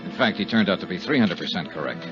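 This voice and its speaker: Hardboiled american investigator, lowish voice, terse, muffled old radio show. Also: male voice, animated, fast talker. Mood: dry narrating. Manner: terse, matter-of-fact. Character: tough, touchy male insurance investigator. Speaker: actor playing lead investigator and narrator. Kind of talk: 1950s radio detective drama, narration and dialogue. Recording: muffled 1950s radio recording with music.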